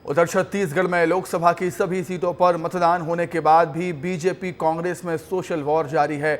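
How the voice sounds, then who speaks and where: A man reading television news as an anchor, in an emphatic style that repeats headlines, with music behind. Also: high-pitched. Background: news music bed.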